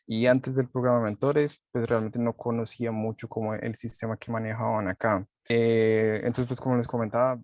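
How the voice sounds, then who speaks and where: A young man from Colombia speaking on a panel in a casual, halting way, full of restarts and repeated words. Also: medium pitch, level tone, darkish tone, no echo. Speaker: panel guest, master's student.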